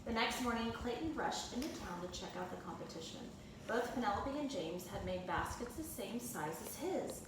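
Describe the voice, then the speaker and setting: A woman reads a children's story aloud like a teacher in class. Her mid-pitched voice sits far from the mic in a very echoey room, with clear noise behind it. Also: expressive, moderate pace. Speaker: second grade teacher reading aloud.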